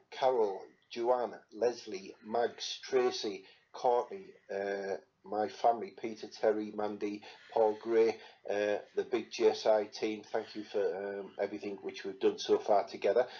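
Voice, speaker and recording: A man's voice: fairly low pitch, muffled recording. A man hosting a live question-and-answer stream as a spirit medium, chatting casually with lots of fillers. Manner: relaxed, chatty, many fillers.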